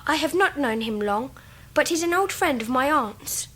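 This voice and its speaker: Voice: sits high, lively intonation, moderate pace, sharp tone, low-quality recording. A young performer playing a polite, earnest schoolboy in a radio drama, speaking courteously and candidly.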